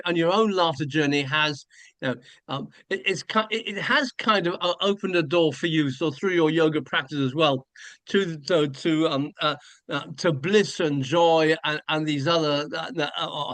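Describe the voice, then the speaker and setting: A man hosting an interview, his voice a little higher than most men's and recorded dry and close. He asks his questions hesitantly, pausing on fillers and starting over. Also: a bit bright, no room sound. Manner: hesitant, many fillers and restarts. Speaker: podcast host. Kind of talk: conversational interview.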